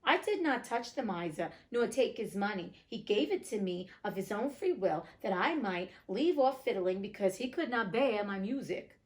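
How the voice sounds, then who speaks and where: A cheeky male servant from a storybook, voiced by a woman. He speaks boldly and tauntingly, with a lively, moving pitch. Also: moderate pace, few pauses, lightly acted. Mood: protesting.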